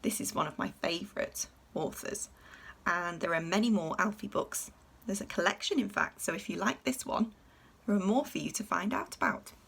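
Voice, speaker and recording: A woman's voice: on the low side, normal speed, vocal fry, a bit bright, breathy edge, recorded from a distance. A woman reading a children's picture book aloud as a storyteller. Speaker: storybook reader.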